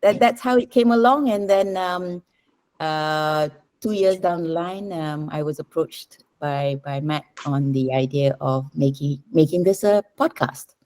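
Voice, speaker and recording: A woman's voice: low voice, unhurried, a little mellow. A woman with a Malaysian accent explaining her work conversationally as an interview guest. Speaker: writer, podcast guest. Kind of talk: casual interview answers.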